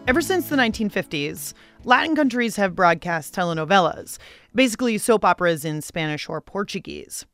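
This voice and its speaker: A woman with an American accent hosting a public radio show in a conversational way. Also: mid-range voice.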